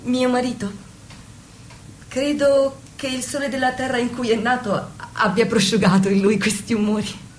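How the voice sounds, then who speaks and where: A devoted young noblewoman performed by an actress in a theatrical radio drama. Her voice sits fairly high, and she speaks with trusting, earnest persistence. Some noise runs through the recording. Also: little pitch movement, moderate pace, close to an everyday voice. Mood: uneasy, trusting.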